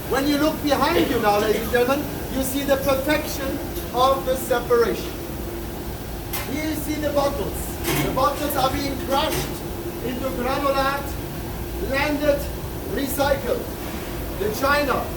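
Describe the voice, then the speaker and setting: Man, tour guide, loud carrying voice, chatty and joking, echoey galley taped from across the room. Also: very high-pitched. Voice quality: loud, projecting voice. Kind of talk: guided tour talk to a group. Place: large ship kitchen, indoors. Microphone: far camera in the crowd. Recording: echoey kitchen from far off with loud background noise.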